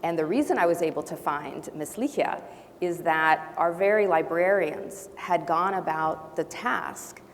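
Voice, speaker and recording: A woman from Brazil and Honduras whose first language is not English, speaking as a panelist with thoughtful pauses and restarts. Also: fairly low pitch. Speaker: panelist, faculty member. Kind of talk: panel discussion. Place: large auditorium. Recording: long hall reverb, faint noise.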